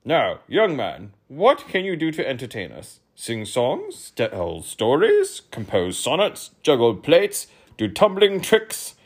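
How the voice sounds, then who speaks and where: A man voicing a huge, irritable old king who booms out slow, commanding orders. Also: huge pitch swings, slightly rough, full character voice. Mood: imperious.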